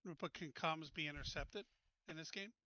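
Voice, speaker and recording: Bright, forceful higher-pitched man at a game. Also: moderate pace, no echo, lo-fi sound. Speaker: player in a tabletop roleplaying game. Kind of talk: casual game table chat.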